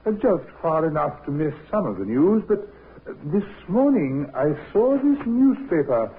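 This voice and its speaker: A man's voice: fairly high pitch, sing-song, unhurried, breathy edge, heavily acted. An actor playing a nervous, deferential male chemist who hesitates and stammers, in a 1950s radio drama. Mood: nervous, hesitant. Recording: thin, tinny 1950s radio recording.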